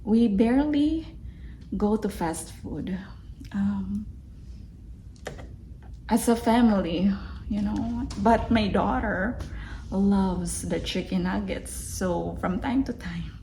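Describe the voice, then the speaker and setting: A woman's voice: medium pitch, slow, pauses often, mellow, a little hiss or hum, poor audio. A young adult woman from the Philippines with a Filipino accent, chatting casually in her vlog with many fillers and restarts. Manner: chatty, lots of fillers and restarts, laughs easily. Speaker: vlogger. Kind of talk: casual self-reflective vlog.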